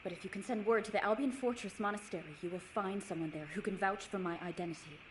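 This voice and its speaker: A righteous female crusader voiced by a game actress speaks in solemn, old-fashioned formal phrases. She is proud and devout, with a mid-pitched voice over clear background noise. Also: fast talker, natural voice. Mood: earnest, insistent. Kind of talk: scripted video game dialogue.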